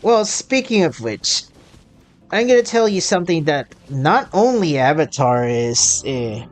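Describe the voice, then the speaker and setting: Slow, halting game streamer. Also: medium pitch, big pitch swings, soft background music. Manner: halting, thinking aloud. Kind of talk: casual game reaction commentary.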